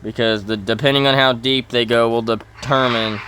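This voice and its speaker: A man giving casual commentary over farming gameplay, halting and often correcting himself as he thinks aloud. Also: mid-range voice, fairly even intonation, pushed and bright, slight background noise, rough recording. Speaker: gaming video host.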